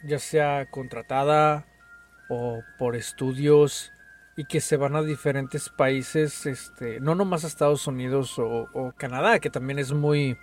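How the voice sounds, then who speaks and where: A man's voice: medium pitch, steady tone, unhurried, soft background music. A young Mexican man with a Mexican accent, chatting casually on a podcast, full of slang and swearing. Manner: slangy, swears a lot, says "güey" constantly. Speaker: podcast co-host. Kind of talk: casual chat.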